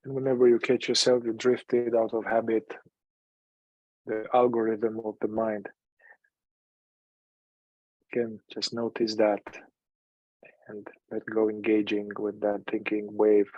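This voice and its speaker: A man leading a guided meditation in a calm, unhurried manner, leaving long pauses between phrases. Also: lowish voice, no echo, lo-fi sound.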